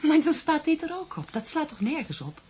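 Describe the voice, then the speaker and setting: An actress playing an affectionate, lighthearted wife in a radio play, talking in intimate, familiar domestic dialogue. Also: female voice, high voice, big pitch swings, brisk, darkish tone, slight background noise. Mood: puzzled.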